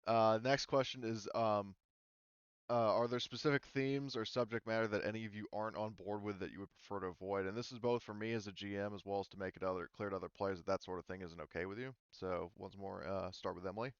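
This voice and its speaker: A man's voice: lowish voice, steady tone, quick pace, clear, resonant tone, no room sound, muffled recording. A man hosting a tabletop game group as game master, talking casually and putting questions to his players in an organised, easygoing way.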